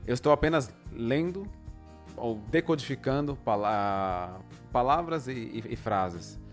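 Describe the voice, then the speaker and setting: A Brazilian man as an interview guest, speaking slowly and thoughtfully with many pauses. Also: medium pitch, animated, soft background music. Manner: thoughtful, deliberately slow, with pauses. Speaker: guest, polyglot. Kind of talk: casual interview.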